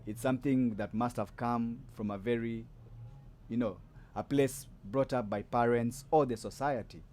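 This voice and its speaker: A man's voice: mid-range voice, fairly even intonation, normal speed, darkish tone. A man hosting a podcast, chatting casually with a guest, loose and rambling with lots of fillers and restarts. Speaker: podcast host. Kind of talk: casual interview chat.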